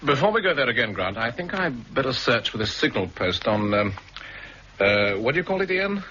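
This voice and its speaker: A man playing a sharp, inquisitive investigator in a 1960s radio drama, asking probing questions and giving short, clipped replies. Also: medium pitch, big pitch swings, fairly quick. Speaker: investigator on the island. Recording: dull archive radio recording with faint hiss.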